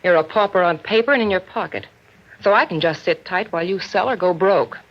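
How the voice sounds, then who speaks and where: An actress playing a cool, sardonic femme fatale who trades cutting, worldly banter in 1940s radio noir style. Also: female voice, fairly low pitch, brisk. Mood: cool, mocking. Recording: dull vintage radio recording.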